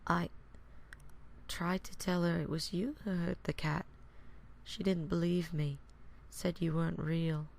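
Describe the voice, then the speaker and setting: A man voicing an excitable young boy in a theatrical, exclamatory way for a horror story. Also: mid-range voice, unhurried, creaky, voice pushed up. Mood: sad, subdued. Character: excitable young English boy playing pirates.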